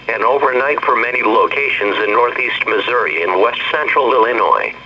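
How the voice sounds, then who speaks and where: A very bright, hard-edged text-to-speech man's voice with an American accent reads a weather bulletin in clipped, formal phrases. It comes over a weather radio, muffled with a faint layer of noise. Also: mid-range voice. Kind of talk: automated scripted weather forecast reading. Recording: dull, noisy weather radio broadcast.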